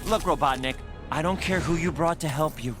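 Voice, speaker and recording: An actor voicing a brave young hedgehog hero in an animated film role, defiant and earnest. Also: male voice, on the high side, brisk, close to an everyday voice. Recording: film clip with loud music behind.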